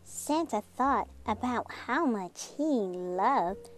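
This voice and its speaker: Animated, high-voiced woman reading a storybook. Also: slow pace. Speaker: children's book reader, channel host. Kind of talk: expressive storybook read-aloud. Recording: music behind the voice.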